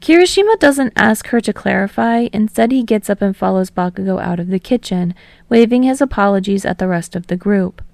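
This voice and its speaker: A woman narrates scripted fiction in a mid-pitched voice with noticeable vocal fry. She reads as an audiobook storyteller, and the sound is dry and close. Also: animated, fairly quick, a little mellow, no echo.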